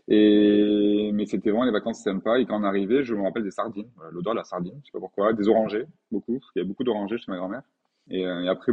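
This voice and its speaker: A man's voice: on the low side, gravelly, slightly rough, narrow, tinny audio. A man in his forties from France, talking casually as an interview guest, thoughtful and hesitant with many fillers and restarts. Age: 40s. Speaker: guest, painter.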